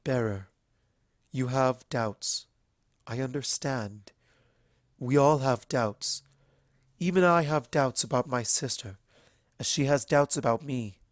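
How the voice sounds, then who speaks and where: A man voicing a kind, earnest female alicorn pony princess in a storybook reading, speaking in a solemn, measured way. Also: mid-range voice, no room sound, studio quality, close to an everyday voice. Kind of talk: fan fiction storybook reading.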